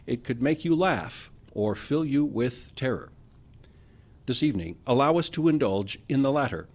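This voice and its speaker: A man announcing a radio drama in a scripted, theatrical and deliberate style. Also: medium pitch, expressive, darkish tone.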